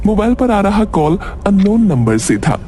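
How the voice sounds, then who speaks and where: High-voiced male story narrator. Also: very animated, normal speed, mellow, a little hiss or hum.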